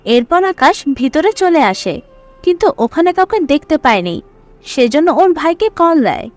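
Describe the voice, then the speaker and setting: A woman narrates a scripted story in a high voice with lively swings of intonation. Faint music plays behind her. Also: normal speed.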